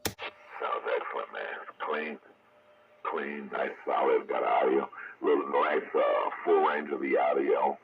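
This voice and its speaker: A man's voice: very deep voice, fairly even intonation, slow pace, creaky. A man chatting casually on CB radio in a friendly, easygoing way with radio slang. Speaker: CB radio operator on air. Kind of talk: casual on-air radio chat. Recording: lo-fi CB radio with heavy noise.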